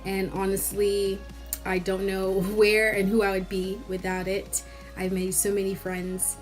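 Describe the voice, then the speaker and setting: A young woman from Cameroon with a mid-pitched voice speaks candidly, with loose fillers and a warm, personal tone. Light music sits faintly under her words. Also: completely flat delivery, a little slow. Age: young adult. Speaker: fellowship board member. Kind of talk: personal testimonial. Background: soft background music.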